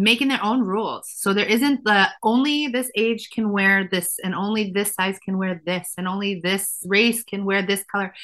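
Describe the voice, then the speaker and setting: A woman, a fashion designer answering interview questions thoughtfully with many pauses. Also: medium pitch, bright, forceful tone. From Israel. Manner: thoughtful, many fillers and restarts.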